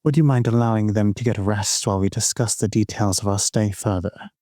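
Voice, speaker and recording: A man acts out an elven master in a fantasy audio drama. His voice is on the low side, and he talks with measured, formal politeness and restraint. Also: warm tone, close to an everyday voice. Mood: polite, restrained.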